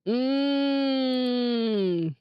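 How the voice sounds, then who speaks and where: A woman giving chatty, animated commentary on a video game as she plays, talking back to it and reading bits aloud. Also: high-pitched, very slow, clean tone, dry recording, muffled recording. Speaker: host of a video game let's play.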